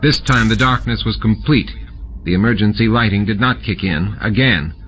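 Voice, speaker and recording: A man's voice: lowish voice, normal speed, fairly bright tone, a little hiss or hum. A man reading science fiction as a scripted audiobook narration. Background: music and sound effects.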